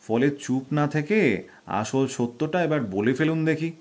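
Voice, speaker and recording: A man playing a shrewd, self-assured detective in an audio drama, speaking formally and deliberately with theatrical, probing delivery. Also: fairly high pitch, close to an everyday voice. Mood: measured, explanatory. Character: shrewd, self-assured male Bengali detective unmasking a culprit. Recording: loud background music under voice.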